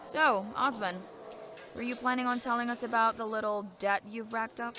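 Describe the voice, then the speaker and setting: A woman's voice: a little high, steady tone, normal speed, noisy background, close to an everyday voice. A woman playing a feisty, competitive young elder sister in an audio drama, brash, bossy and teasing. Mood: accusing. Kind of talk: audio drama character acting.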